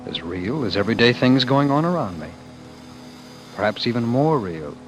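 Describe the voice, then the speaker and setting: An actor playing a meek, dreamy husband who imagines himself a great leader, in earnest, dramatic old-time radio style. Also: male voice, medium pitch, sing-song, normal speed, close to an everyday voice. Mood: brooding, wistful. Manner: earnest, dramatic, brooding, hesitant. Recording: vintage radio broadcast with music behind.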